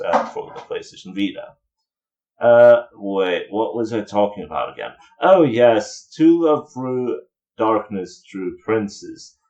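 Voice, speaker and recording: A man's voice: sits low, a little mellow, lo-fi sound. A man giving casual review commentary as a video reviewer, with dry, sarcastic asides.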